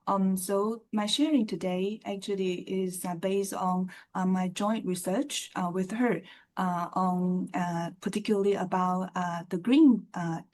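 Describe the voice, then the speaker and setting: A woman's voice: mid-range voice, a little mellow. A woman academic on a panel, speaking hesitantly with many fillers. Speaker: panelist, research assistant professor. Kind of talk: panel self-introduction.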